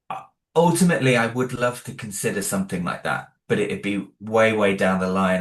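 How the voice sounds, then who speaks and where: A British man with a low-pitched voice talks as a guest in a casual interview. He sounds earnest, feeling his way through his thoughts with some hesitation. The recording is noticeably roomy, with echo around his voice. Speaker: interview guest, independent audio drama creator. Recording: roomy, echoing room sound.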